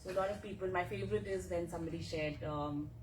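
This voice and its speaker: A woman's voice: lowish voice, fast. A woman giving a casual, chatty presentation at a welcome event, with fillers and asides. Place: office meeting room. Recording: echoey room, mic far off, background noise.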